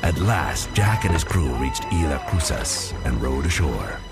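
Man, extremely low gravelly voice, scripted adventure storytelling, loud music underneath. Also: very animated, measured pace. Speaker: narrator. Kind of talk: scripted audio drama storytelling.

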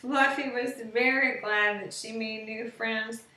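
A woman reads a simple bedtime story for young children in a rather high voice. She sounds a little away from the microphone, in an echoey living room, as captured on a webcam. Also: steady tone, a little slow, bright, forceful tone. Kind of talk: children's bedtime story read aloud, slow simple narration. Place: living room with sofa and window, indoors. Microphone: webcam at about arm's length or more. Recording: roomy, distant webcam sound.

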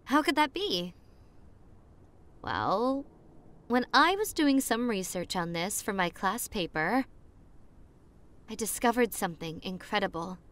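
A woman's voice: medium pitch, a bit bright. A woman playing a popular girl telling ghost stories to her secret crush in a teasing, suspenseful ASMR roleplay. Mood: intrigued, mysterious. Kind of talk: roleplay ASMR, hushed dramatic storytelling.

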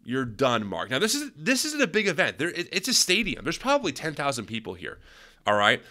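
A man in his thirties co-hosting a video-call podcast, talking through clips in an animated way with many restarts. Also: on the high side, brisk. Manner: animated, restarts a lot, explains and narrates clips. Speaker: podcast co-host. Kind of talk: casual commentary on clips. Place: small room at home. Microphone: studio mic on arm, video call.

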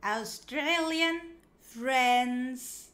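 High-pitched woman reading slowly to young children. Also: fairly bright tone. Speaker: children's storybook reader. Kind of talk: read-aloud of a picture book for young children.

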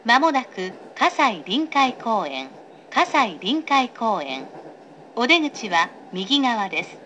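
A woman's voice: fairly high pitch, very animated, slow pace, pushed and bright. A woman reading a scripted, pre-recorded train announcement in a polite, formal, carefully enunciated way. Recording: recorded aboard a train, clear background noise.

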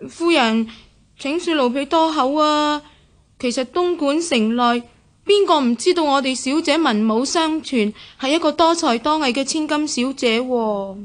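An actress playing an eager, deferential young maidservant in a historical radio drama, with a Hong Kong Cantonese accent. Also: female voice, high voice, a little slow. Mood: respectful. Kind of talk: radio drama acting in historical costume piece.

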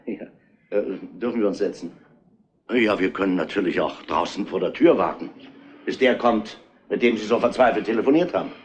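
An actor playing a shrewd middle-aged police inspector in a retro crime drama, dry, probing and mock-polite, on a noisy old soundtrack. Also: male voice, on the low side, brisk, lightly acted. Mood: mock-polite, needling.

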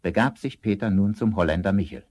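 A man narrating a fairy tale as a grave storyteller, measured and dramatic. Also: on the low side, darkish tone, natural voice.

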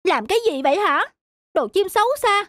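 A woman's voice: extremely high pitch, expressive, brisk, a bit bright, no echo, studio quality. A woman voicing a lazy, grumpy, spiteful cabbage plant with a childish temper in a children's cartoon.

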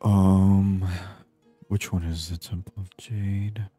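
A man giving soft-spoken ASMR gameplay commentary in a hushed, unhurried murmur, at times near a whisper. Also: low voice, fairly even intonation, no echo, soft background music. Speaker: gaming video host.

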